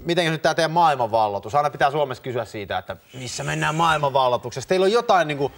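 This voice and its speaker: A man's voice: on the high side, expressive, vocal fry. A man in his thirties interviews casually as a talk show host, conversational and chiming in with short prompts. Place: TV studio with small audience.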